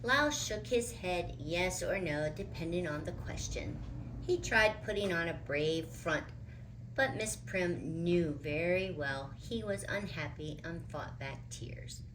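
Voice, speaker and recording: A woman storyteller reads a picture book at a slow pace, with lively swings in pitch. She leans expressively on key words, with audible noise in the background. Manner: expressive, dramatic emphasis. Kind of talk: children's picture book read-aloud.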